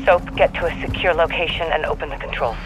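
Actor as urgent, high-voiced mission controller. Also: male voice, quick pace, dull audio, close to an everyday voice. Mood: pressing. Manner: precise, urgent instructions. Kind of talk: military video game acting. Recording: radio comms over game music.

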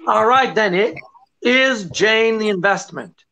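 A middle-aged actor playing a suave, teasing detective with a wry, unhurried delivery in old-time radio comedy style. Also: male voice, high voice, animated, gravelly, bright, forceful tone, slight background noise, exaggerated voice. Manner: unhurried, wry, hesitating for effect. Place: indoors, small draped room. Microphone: video call. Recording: muffled video-call audio.

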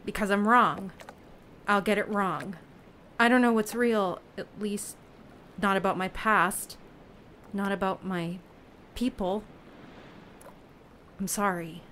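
A woman playing a self-doubting lone human raft dweller in an audio play, talking in a halting, plain-spoken, unsure way. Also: medium pitch, fairly even intonation, a bit bright, a little hiss or hum. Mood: anxious, ashamed. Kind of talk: naturalistic audio play acting.

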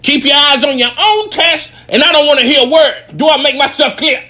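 A man plays a bossy cartoonish goose, very high and forceful, barking threats and orders like a drill sergeant. The delivery is loud, commanding and made for comedy. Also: a little fast, heavily acted. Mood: stern threatening. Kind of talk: comic animal voiceover.

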